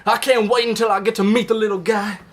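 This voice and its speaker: Man playing a high-voiced, folksy Confederate soldier. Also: steady tone, fast, fairly bright tone. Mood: giddy boastful pride. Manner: folksy, old-timey, florid. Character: lewd, boastful Confederate soldier husband writing home. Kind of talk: comedic live reading of love letters.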